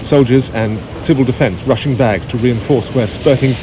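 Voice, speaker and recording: A British man acting as a radio reporter in a radio play. He is dry and steadfast, with a mid-pitched voice. Loud outdoor city and water noise runs behind him. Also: normal speed, lightly acted. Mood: grave, measured.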